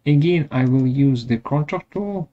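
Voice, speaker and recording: A man presenting a step-by-step software tutorial in short, measured, halting phrases. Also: on the high side. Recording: dull lo-fi audio.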